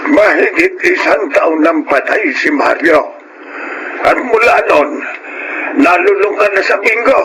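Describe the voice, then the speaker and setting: A man's voice: sits high, animated, a little slow, noisy background. An older actor playing a concerned, stern old grandfather in a Filipino radio drama, exclaiming and admonishing. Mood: stern, reproachful.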